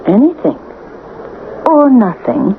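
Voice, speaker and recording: An actress playing a wry, unruffled woman from a mining town in a vintage radio drama, speaking in a deliberate, knowing way. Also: a little high, sing-song, full character voice. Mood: matter-of-fact. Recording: tinny, hissy vintage radio recording.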